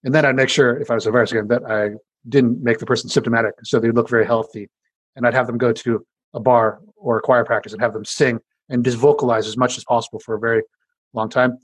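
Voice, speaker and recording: A man with a deep, husky voice explains his research at a quick clip. He is a scientist being interviewed, talking casually, full of fillers and self-corrections. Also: fairly even intonation, no room sound, rough recording.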